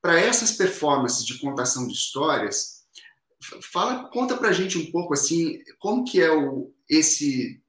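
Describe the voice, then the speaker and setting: A man's voice: on the high side, sing-song, a little slow, fairly bright tone. Hesitant man interviewer in an echoey room. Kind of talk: academic interview questions.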